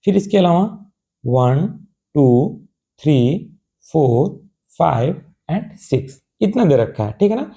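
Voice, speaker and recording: A male tutor, hoarse from a cold, explains a math problem step by step. He coaxes his students along, asks rhetorical questions and checks in often. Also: on the high side, very animated, takes it slowly, warm tone. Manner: calls students "babu" in a patient, coaxing tone.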